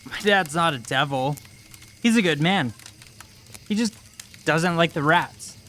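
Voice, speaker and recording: A man's voice: a little high, expressive, measured pace, a bit bright, a little hiss or hum. A man playing a scared, doubtful boy who speaks hesitantly in an audiobook drama. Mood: anxious, doubtful. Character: scared, doubtful boy defending his father. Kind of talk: audiobook acting.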